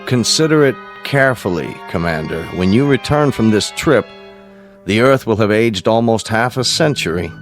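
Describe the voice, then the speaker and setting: An American actor playing a grave, paternal space-program scientist in a radio drama, speaking formally, deliberately and with emphasis. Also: male voice, fairly low pitch, expressive, natural voice. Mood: solemn, emphatic. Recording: music behind the voice.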